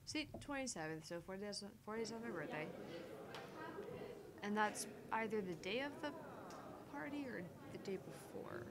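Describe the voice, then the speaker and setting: A woman's voice: mid-range voice, expressive, moderate pace, long pauses, heavy background noise. A woman co-hosting a gaming livestream, chatting casually and reading game text aloud.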